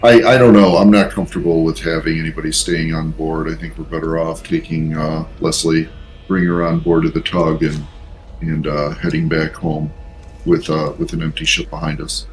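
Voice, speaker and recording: A man playing a tabletop game, talking things over casually in a deliberate, hesitant way with restarts. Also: a bass voice, flat delivery, a little hiss or hum.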